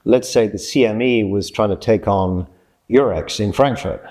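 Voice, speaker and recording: A man of about sixty from Scotland, giving reflective interview answers in a measured, explanatory way, often correcting himself. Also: lowish voice, a little mellow. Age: late 50s to early 60s. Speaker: interviewee, journalist and freelance writer.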